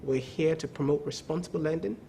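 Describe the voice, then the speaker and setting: A man's voice: a little high, a little fast, vocal fry, dark tone, a little hiss or hum. A man speaking as a credit bureau executive in a TV business interview, emphatic, repeating words and often asking "okay?". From not from the country of the show.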